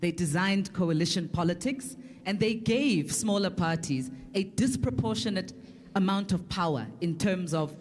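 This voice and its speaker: An articulate South African woman speaks as a panelist at a public forum. She builds a political argument emphatically, with deliberate phrasing and repetition to stress each point. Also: lowish voice, lively intonation.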